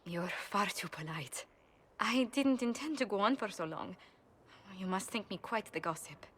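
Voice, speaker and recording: A woman's voice: medium pitch, expressive, fairly bright tone, big-room sound, a little hiss or hum, close to an everyday voice. A woman playing a courteous, composed ambassador in a video game, speaking formally with mild exasperation. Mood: apologetic. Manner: formal, polite, measured phrasing. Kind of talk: video game character dialogue.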